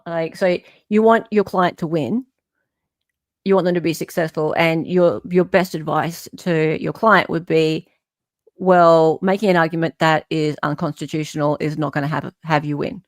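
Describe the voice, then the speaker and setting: A woman's voice: low voice, steady tone, moderate pace, no room sound. An Australian woman hosting an online show, reading a legal filing aloud with emphatic, incredulous off-the-cuff commentary.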